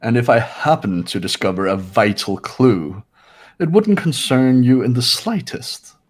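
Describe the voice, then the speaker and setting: A man's voice: medium pitch, animated, breathy edge. A man voicing a haughty, contemptuous rich young man in an amateur playthrough of a visual novel game. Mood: cold contempt. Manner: disdainful, aloof, deliberate.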